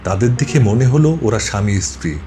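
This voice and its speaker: A man's voice: lowish voice, lively intonation, fairly quick, mellow, slight background noise. A man reading a scripted ghost story as its narrator.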